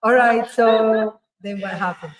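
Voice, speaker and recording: A woman's voice: on the high side, steady tone, a little slow, roomy sound, recorded from a distance. A woman from Ecuador hosting a podcast, prompting her guest with encouraging questions and laughing along. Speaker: podcast host. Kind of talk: casual interview chat.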